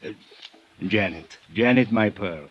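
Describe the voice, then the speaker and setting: An actor in a 1950s radio drama playing a genteel, scheming foreign crime boss with a florid, wheedling, falsely affectionate manner. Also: male voice, fairly low pitch, husky, muffled recording. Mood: smooth flattery. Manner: florid, wheedling, formal speech with drawn-out endearments.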